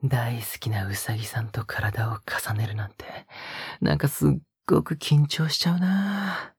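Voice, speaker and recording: A man playing a tender, teasing, dominant male lover in an intimate romantic audio drama, speaking softly and coaxingly, often in a breathy whisper. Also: medium pitch, very animated, moderate pace, dry recording, exaggerated voice. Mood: shy excitement. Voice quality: breathy, husky.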